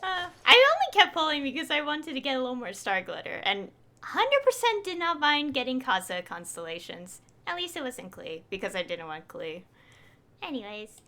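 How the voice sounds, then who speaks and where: A woman chatting casually on a live game stream, excitable and rambling to her viewers. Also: sits high, moderate pace.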